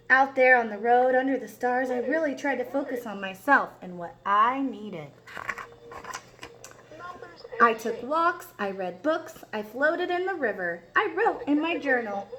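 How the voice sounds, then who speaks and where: Earnest, helpful egg from a children's storybook, acted by a woman: bright, slightly high, emphatic delivery. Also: rough recording. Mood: calm, reflective. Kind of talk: picture book read-aloud.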